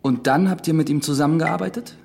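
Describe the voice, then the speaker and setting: A man's voice: fairly high pitch, quick pace, gravelly, darkish tone, a little hiss or hum. A young actor in a German radio drama playing an inquisitive, doubting young man. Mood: questioning. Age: young adult. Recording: music playing underneath.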